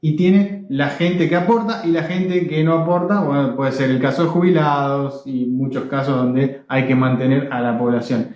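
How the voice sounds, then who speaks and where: A man's voice: medium pitch, fairly even intonation, normal speed, few pauses, a little mellow. A man with an Argentine accent giving opinionated commentary straight to the viewer, emphatic and repeating phrases to drive points home. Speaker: video blogger. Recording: echoey, reverberant room.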